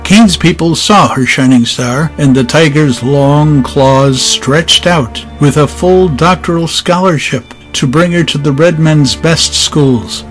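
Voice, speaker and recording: A man's voice: a little high, slow pace, hardly any pauses, rough recording. A man giving scripted dramatic narration as the narrator of an audio story. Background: faint music.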